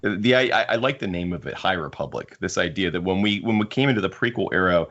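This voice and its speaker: A man hosting a podcast, talking casually about books in a halting way with frequent restarts. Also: low voice, lively intonation, fairly bright tone, muffled recording. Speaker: podcast host. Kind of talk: casual discussion of sci-fi books.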